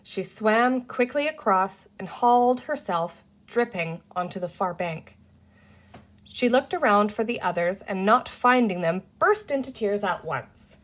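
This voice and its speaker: A woman narrating a children's adventure story as an expressive read-aloud storyteller. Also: medium pitch, measured pace.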